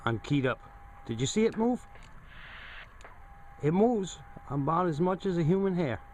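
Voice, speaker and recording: A man's voice: on the high side, very animated, measured pace, a little mellow. Man demonstrating casually over background noise. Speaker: host of a hobby radio video. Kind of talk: casual hands-on demonstration to camera.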